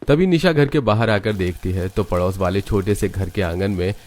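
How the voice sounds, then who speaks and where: Male storyteller, lower-pitched voice, scripted village-tale narration. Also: normal speed, darkish tone.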